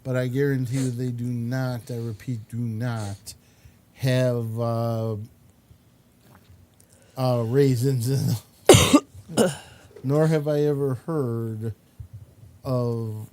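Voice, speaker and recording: A man hosting a talk show in casual chat, with drawn-out, emphatic repetition. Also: medium pitch, steady tone, warm tone. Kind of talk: casual chat, commenting on pictures. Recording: clear background noise.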